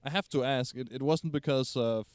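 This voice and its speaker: A man's voice: mid-range voice, moderate pace, no room sound. A man hosting a casual street interview, reacting with enthusiasm and laughing along.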